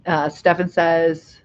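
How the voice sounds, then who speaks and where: A woman's voice: low-pitched, a little mellow. A middle-aged woman from Ohio hosting a casual livestream, rambling and laughing as she reads the chat. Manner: chatty, rambling, laughs often. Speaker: livestream host. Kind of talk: casual live chat, reading comments. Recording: dull lo-fi livestream audio.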